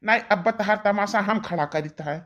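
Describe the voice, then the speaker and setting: A woman's voice: medium pitch, normal speed, muffled recording, lightly acted. A woman voicing an angry, bullying village husband in a cartoon, with an Awadhi accent and a curt, threatening manner. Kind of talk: melodramatic cartoon dialogue.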